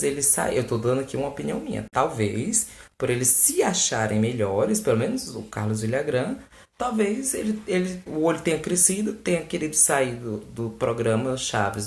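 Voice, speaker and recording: A man with a Brazilian Portuguese accent, host of a fan page, answering fan questions in casual chat to camera, animated and exasperated with mocking asides. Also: on the high side, gravelly. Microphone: phone recording. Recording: echoey room.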